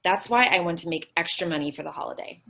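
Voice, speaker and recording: A woman's voice: lowish voice, fast talker, vocal fry, pushed and bright, full character voice. A woman playing a naive, scatterbrained and stubbornly optimistic young woman in an old-time radio comedy. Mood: earnest.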